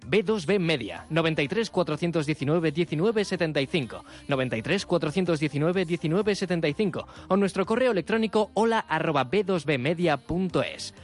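Guy reading radio ads, kinda high voice, soft music underneath. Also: animated, fairly quick. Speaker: ad announcer. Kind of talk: scripted radio ad read. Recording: music bed behind.

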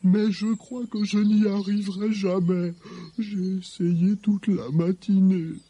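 A man's voice: high voice, little pitch movement, takes it slowly, mellow, slightly rough, muffled recording, full character voice. A man voicing a boastful, clumsy but cheerful lion king in a children's story. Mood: sheepish.

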